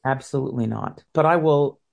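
A woman in her fifties with a low voice, an opera singer reflecting on her career in an interview, often repeating words as she goes. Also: a little fast, creaky, darkish tone. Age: 50s. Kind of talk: interview answers.

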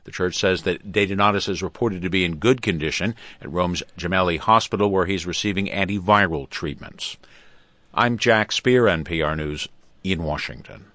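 American male radio news anchor, very low register, scripted bulletin delivery, tight dry sound. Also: normal speed, bright, forceful tone, dry recording. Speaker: radio newscaster.

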